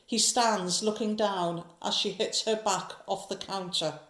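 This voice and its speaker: Echoey woman narrator, medium pitch. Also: fairly even intonation, measured pace, a bit bright. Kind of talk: scripted narration of a horror audio drama.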